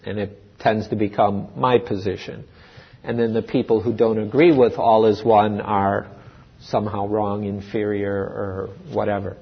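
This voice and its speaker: A man giving an unscripted dharma talk at a meditation center, speaking slowly and thoughtfully, pausing and restarting as he works through ideas. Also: on the low side, level tone, gravelly, husky. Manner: slow, thoughtful, with restarts and pauses. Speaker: dharma teacher. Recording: dull lo-fi recording with long hall reverb.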